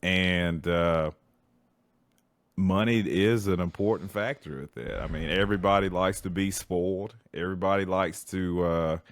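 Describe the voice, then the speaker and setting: Deep-voiced man in his forties. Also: measured pace, no room sound. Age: 40s. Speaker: podcast co-host. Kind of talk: casual chat.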